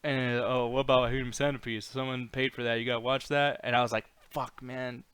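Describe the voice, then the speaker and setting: A man's voice: mid-range voice, brisk, clean tone, dry recording. A man co-hosting a podcast in casual, profane banter, ranting bluntly and slipping into exasperated mock voices. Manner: blunt, swearing, exasperated, animated mimicry. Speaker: podcast co-host.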